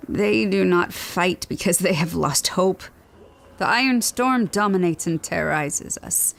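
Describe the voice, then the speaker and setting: An actress playing a grave, ancient elder of a lost civilization in an audio drama, solemn and stately. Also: female voice, on the low side, unhurried, vocal fry. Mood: grave, mournful.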